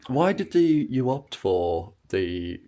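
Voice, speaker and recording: A man's voice: medium pitch, very animated, slow pace, warm tone. A man interviewing pantomime actors in an enthusiastic, chatty way. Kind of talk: casual interview chat.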